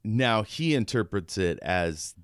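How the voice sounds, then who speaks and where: Low, smooth-voiced man chatting about books. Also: sing-song, takes it slowly, no room sound, clean studio sound. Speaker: podcast co-host. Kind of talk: casual book discussion, reading out passages.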